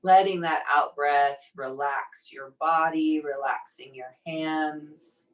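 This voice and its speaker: Woman doing guided meditation, really slow and soothing, gently encouraging, sounds a bit far from the mic. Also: deep voice, fairly even intonation, dull audio. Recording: distant microphone pickup.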